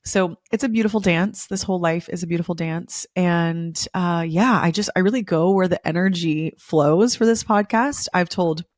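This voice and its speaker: A young woman hosting a spiritual podcast, a little hoarse from losing her voice, talking in an effusive, repetitive way. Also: lowish voice, moderate pace, few pauses, no echo, clean studio sound. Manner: effusive, repeats words for emphasis. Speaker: podcast host. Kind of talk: casual spiritual podcast monologue.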